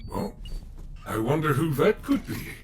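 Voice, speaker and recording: A man's voice: on the high side, very animated, measured pace. A man playing a cheerful, naive, bumbling animatronic bear in a fan audio roleplay, earnest, polite and wordy. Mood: sheepish.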